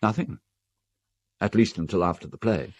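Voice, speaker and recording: An actor playing a fastidious, polite British gentleman host in an audio drama, measured and slightly diffident. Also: low-pitched, husky, dry recording, lo-fi sound. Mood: discreet, troubled. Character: courteous, fastidious gentleman host of an island estate.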